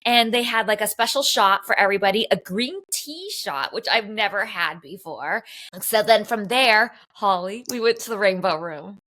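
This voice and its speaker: A woman's voice: mid-range voice, moderate pace, pushed and bright. A woman co-hosting a podcast, telling a rambling, chatty story full of "like" fillers. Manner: chatty, rambling, heavy use of "like". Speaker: podcast co-host. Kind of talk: casual chat, storytelling. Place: Los Angeles area home.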